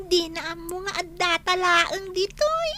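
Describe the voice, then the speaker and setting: A woman playing a cheeky, excitable child in an Ilocano radio comedy drama. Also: extremely high pitch, slow pace, soft background music, full character voice. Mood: sneaky.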